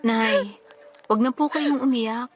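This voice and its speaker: A woman playing a caring, forgiving eldest sister in a radio drama, speaking gently and sincerely. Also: on the high side, takes it slowly. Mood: concerned, consoling. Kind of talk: Tagalog radio drama acting. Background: background music.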